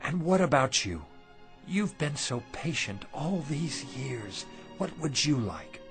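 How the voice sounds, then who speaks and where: Generous older shopkeeper, folksy, animated pitch, warm-hearted radio drama husband, light music behind. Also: male voice, slow pace, heavily acted. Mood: content, affectionate.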